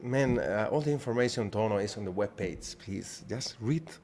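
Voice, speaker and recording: A man's voice: on the low side, lively intonation, moderate pace, a little mellow, slight background noise. A man with a Spanish accent, a native Spanish speaker, hosting a live trading webinar in casual chat, greeting viewers by name and often repeating himself. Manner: chatty, greets viewers by name, repeats phrases, restarts sentences.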